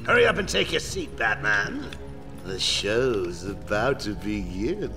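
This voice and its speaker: An actor voicing a manic, sadistic clown supervillain in a video game, with a theatrical, mocking showman's delivery and a gravelly, cackling voice. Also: on the low side, sing-song, unhurried, music in the background, exaggerated voice. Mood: menacing glee.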